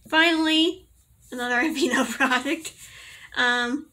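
A young woman in a casual home vlog, rambling and giggling as she corrects herself, her voice a little raspy from allergies. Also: sits high, sharp tone. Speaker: vlogger. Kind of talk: casual product review to camera. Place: small bedroom indoors.

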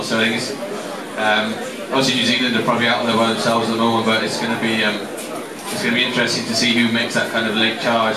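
A young man, a rugby player, answering interview questions with lots of fillers. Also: lowish voice. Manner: hesitant, many fillers. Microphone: handheld mic, far camera. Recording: roomy bar, distant camera, loud crowd.

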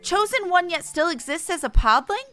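A woman's voice: very high-pitched, expressive, normal speed, pushed and bright, soft background music, voice pushed up, heavily acted. A woman voicing a small, earnest, worried forest sylph elder from a fantasy game, speaking in a quaint third-person manner. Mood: urgent.

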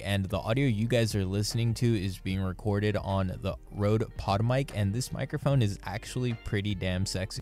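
A man's voice: fairly low pitch, darkish tone. A young man in a small home studio, chatting upbeat and direct to viewers while walking them through audio editing on a close podcast microphone. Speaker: YouTuber teaching audio editing. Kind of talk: casual tutorial. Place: small dim bedroom studio. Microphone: podcast mic on boom arm, close.

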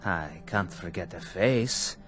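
An actor playing a quiet, menacing former camp prisoner in a radio drama. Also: male voice, low voice, lively intonation, takes it slowly, full character voice. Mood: menacing calm. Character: calm vengeful former male camp prisoner. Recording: radio play with music behind.

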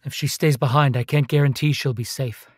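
An actor playing a cold, menacing boss in a radio drama, brisk and controlled with veiled threats. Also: male voice, mid-range voice, fairly even intonation, dry recording, studio quality, close to an everyday voice.

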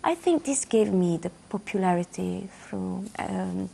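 Gravelly-voiced woman in a studio interview. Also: medium pitch, lively intonation, unhurried, warm tone, a little hiss or hum. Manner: thoughtful, repeats words while searching for phrasing. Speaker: musician guest. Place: dark television studio, seated.

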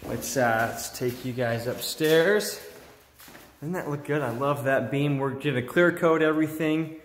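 A man's voice: on the high side, a little slow. An American man around his forties giving a casual, enthusiastic video tour in an informal, admiring way, holding a phone at arm's length. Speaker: vlogger giving a workshop tour. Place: mostly inside an empty unfinished workshop building. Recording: echoey empty room, clear background noise.

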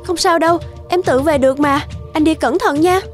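Woman playing young cartoon girlfriend, high voice, gentle, devoted, light music behind. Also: moderate pace, close to an everyday voice. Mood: caring. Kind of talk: animated drama voice acting.

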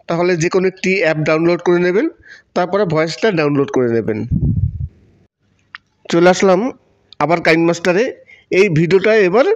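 A Bengali man giving step-by-step tutorial narration in a repetitive, instructional manner. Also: a little high. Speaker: tutorial presenter. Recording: dull, muffled lo-fi voice-over.

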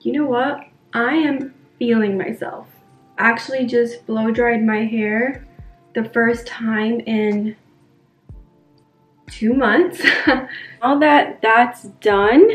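Young female vlogger from New York, slightly high voice, chatty and candid, roomy bedroom sound with faint music underneath. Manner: chatty and candid, many fillers. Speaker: lifestyle vlogger. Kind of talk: casual vlog chat to camera. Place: bedroom indoors.

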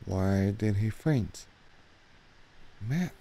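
A man's voice: lowish voice, very slow, noisy background. Hungarian-accented man reacting to a film. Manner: chatty, excited, self-correcting. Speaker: movie reaction host. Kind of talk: casual reaction commentary. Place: small dark home studio. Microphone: studio mic on boom arm, headphones.

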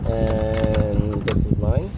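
A man's voice: fairly low pitch, flat delivery, drawn-out words. A man in his forties vlogging casually at a seaside campsite, chatty and easily impressed, recorded on an action camera. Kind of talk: casual vlog narration. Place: windy seaside campsite outdoors. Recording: dull camera audio with loud wind.

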